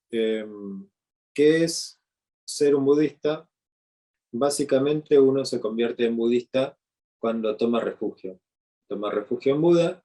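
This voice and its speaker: A man with an Argentine Spanish accent giving an unscripted talk as a meditation teacher, speaking slowly with frequent fillers and restarts. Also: medium pitch, dark tone.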